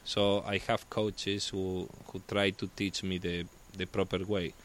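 A man from Spain with a Spanish accent, talking as a guest in casual chat, halting and restarting as he searches for words. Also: sits low, flat delivery, a bit bright, a little hiss or hum.

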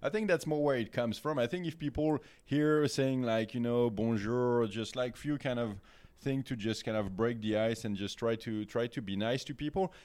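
A man's voice: mid-range voice, moderate pace, a little mellow. A man with a French accent chatting as a podcast guest, rambling with lots of fillers and restarts. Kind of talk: casual podcast chat.

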